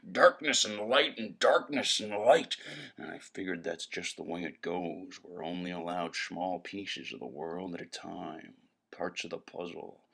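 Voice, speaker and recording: An actor playing a world-weary, wisecracking private eye, narrating in hard-boiled noir style with a gravelly voice. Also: male voice, low voice, bright, forceful tone, exaggerated voice. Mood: brooding. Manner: brooding, evasive wordplay.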